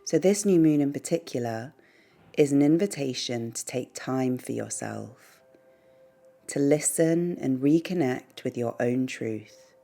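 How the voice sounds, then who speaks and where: A woman leading a guided meditation in a soothing, gentle, unhurried way, pausing between short phrases. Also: rumbling low, expressive. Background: background music.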